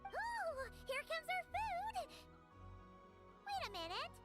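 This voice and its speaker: A woman voicing a tiny, excitable, childlike fairy companion in an anime game, squeaky and prone to blurting things out. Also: slow, soft background music, full character voice. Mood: excited.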